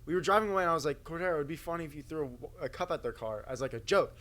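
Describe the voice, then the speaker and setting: A man's voice: fairly high pitch, expressive, rapid-fire, a bit bright. A young American man telling anecdotes casually among friends, in a slangy, boyish way, on a stick mic. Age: young adult. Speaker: podcast co-host. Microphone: stick microphone.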